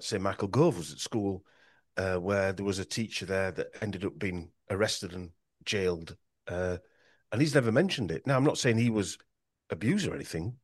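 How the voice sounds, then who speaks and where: Yorkshire male guest, low register, rambling podcast talk, dry booth sound. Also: breathy edge, no room sound. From Yorkshire, England. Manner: rambling with frequent restarts and stumbles. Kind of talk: podcast conversation.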